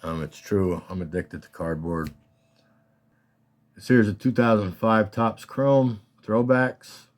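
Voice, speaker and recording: A man with a slightly scratchy voice, casually and haltingly talking through his collection as a hobbyist host. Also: fairly low pitch, steady tone. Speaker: collector hosting a card showcase. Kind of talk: casual rambling show-and-tell talk.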